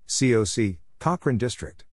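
A man's voice: mid-range voice, lively intonation, moderate pace, background noise. A man giving a scripted instructional read-out, spelling letter by letter and speaking in short, isolated phrases. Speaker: Morse code practice instructor. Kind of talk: scripted read-out of spelled codes and place names.

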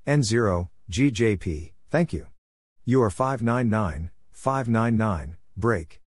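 A man narrating scripted radio exchanges for Morse code practice, reading in a measured way and spelling out call signs letter by letter. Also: fairly low pitch, lively intonation, slightly rough, no room sound.

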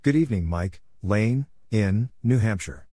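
Male narrator, low-pitched and very slow, for measured instructional readouts delivered item by item. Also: animated, dark tone, dry recording, clean studio sound. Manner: measured, spelling out letters and numbers one at a time. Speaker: narrator of Morse code practice. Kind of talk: scripted readout of callsigns and exchanges.

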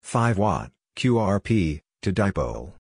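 A man reading scripted practice phrases as a narrator, in a deliberate, clipped, phrase-by-phrase delivery. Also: sits low, very animated, noisy background. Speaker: Morse code practice narrator.

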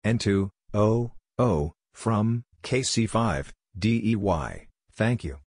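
A man's voice: on the low side, expressive, takes it slowly, darkish tone, no room sound. A man reading out scripted ham radio exchanges in short, clipped phrases. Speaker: narrator of Morse code practice.

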